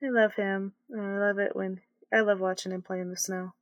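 A woman's voice: mid-range voice, level tone, no echo. Chatty, giggly woman podcast co-host. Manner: chatty and giggly, quick reactions. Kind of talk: casual podcast chat.

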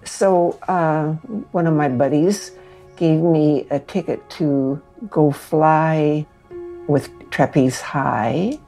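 A woman in her eighties with an American accent, telling her own story with pauses. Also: sits low, fairly even intonation, mellow, soft background music, rough recording. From Kansas City, United States. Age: 80s. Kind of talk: personal storytelling.

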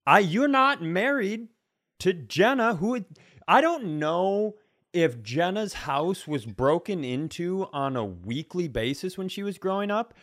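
A man's voice: sits high, lively intonation, unhurried, fairly bright tone. Rambling American man telling comic stories. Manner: self-deprecating, rambling. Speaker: podcast co-host. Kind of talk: casual comedic storytelling.

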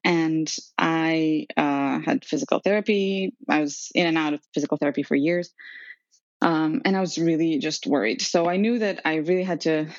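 A woman's voice: sits low, normal speed, no echo. A woman in her thirties from Serbia, telling her story as a podcast guest in a chatty way, with many ums and laughs. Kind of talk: conversational storytelling. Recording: dry, dull lo-fi sound.

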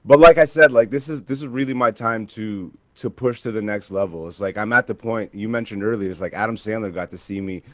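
A man, a comedian talking casually as an interview guest and telling stories in animated, informal language. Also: lowish voice, fairly quick, hardly any pauses, dry recording. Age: 30s. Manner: informal, peppered with like and you know.

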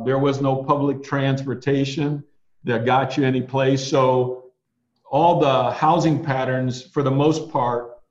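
A man's voice: mid-range voice, a little mellow. Older man telling stories in a roomy space. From USA, Ohio and Alabama. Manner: measured, thoughtful storyteller. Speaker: attorney guest. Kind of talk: reflective storytelling interview. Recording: dull, roomy recording.

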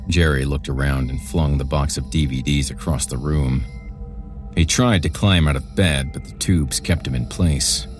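A man narrating a scripted horror story in a dramatic, deliberate storytelling manner. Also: extremely low pitch.

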